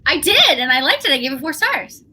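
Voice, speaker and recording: High bright female voice, very animated, casual group chat, exclamatory, faint background noise. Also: fairly quick. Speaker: co-host of a book club live show.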